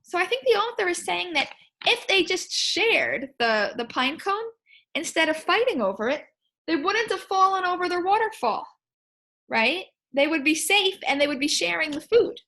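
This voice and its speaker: A woman teacher reading a picture book aloud to children, expressively, pausing to ask questions and explain things simply. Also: extremely high pitch, big pitch swings, pushed and bright. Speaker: primary school teacher.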